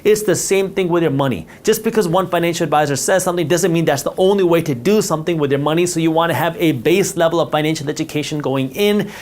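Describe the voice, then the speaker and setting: Man, native Punjabi speaker, slightly high voice, emphatic explainer, whiteboard talk. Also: fast, hardly any pauses, darkish tone. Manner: emphatic, repeats words for stress. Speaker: finance educator.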